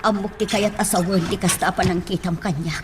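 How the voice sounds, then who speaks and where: A woman's voice: fairly low pitch, fairly even intonation, brisk, natural voice. A woman acting an anxious, protective mother in a radio drama. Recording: music behind the voice.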